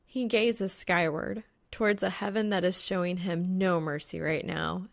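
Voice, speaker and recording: A woman's voice: fairly low pitch, steady tone, unhurried, creaky. A woman reading scripted fan fiction aloud as an audiobook narrator.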